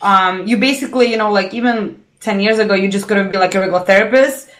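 A woman from Lithuania with a Lithuanian accent, a sex educator guest talking in a lively, expressive way and laughing often. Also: mid-range voice, quick pace. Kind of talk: casual interview chat.

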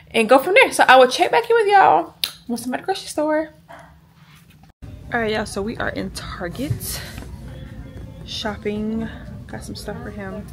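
A woman's voice: on the high side, slight background noise. A young woman, chatting casually to her viewers in a rambling way while holding the camera at arm's length. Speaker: vlogger. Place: home bedroom and a store. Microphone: handheld camera at arm's length.

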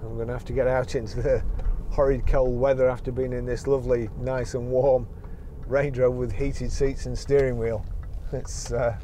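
A man's voice: mid-range voice, flat delivery, normal speed, hardly any pauses, mellow, a little hiss or hum. A middle-aged man, a car dealer, chatting casually while driving, rambling with many restarts. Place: inside a car on the road. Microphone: car-mounted camera.

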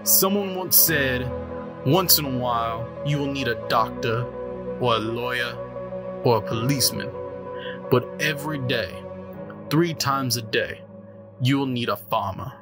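A man playing a solemn pastor who delivers a measured, reverent funeral eulogy in an audio drama. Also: medium pitch, lightly acted. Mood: solemn, earnest. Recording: music behind the voice.

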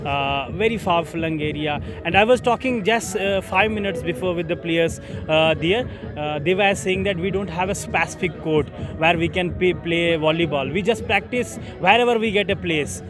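A man asks polite, formal questions in quite a high voice, filling the pauses with many fillers. He speaks outdoors, with clear music playing behind him. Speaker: reporter, interviewer. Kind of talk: news interview questions. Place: outdoor stadium grounds. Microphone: handheld mic held toward guest.

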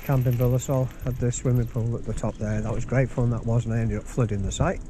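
A man giving a relaxed, chatty outdoor tour while riding round a campsite, often repeating words as he thinks. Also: mid-range voice, quick pace, background noise. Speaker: vlogger touring a campsite by bicycle. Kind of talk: casual spoken tour commentary.

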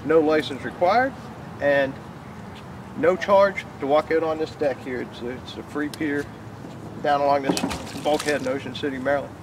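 A man's voice: on the high side, steady tone, moderate pace, background noise. A middle-aged man talking casually and folksily outdoors as the host of a fishing vlog. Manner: folksy, informal. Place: outdoors on a waterfront fishing pier and bench. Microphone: camera a few metres away. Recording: distant outdoor camera sound under loud music.

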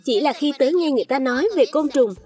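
A woman voicing excitable, childlike little fruit schoolchildren in a cartoon dub. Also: high-pitched, quick pace, close to an everyday voice. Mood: anxious.

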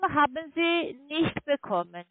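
A woman with a high voice and a foreign accent works as a call centre agent. She speaks slowly and haltingly, guiding a customer through steps. The sound is a dry, tinny phone line. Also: big pitch swings, a little hiss or hum. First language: not German. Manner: halting, non-native phrasing. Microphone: telephone.

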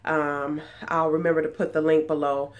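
A low-pitched woman speaks in a nasal, stuffed-up voice because of a cold. She rambles in a chatty way, full of ums and false starts, as she talks to a webcam in a small room at home. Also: flat delivery, normal speed, lo-fi sound. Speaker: sewing vlogger. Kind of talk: casual chat. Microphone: webcam at desk distance.

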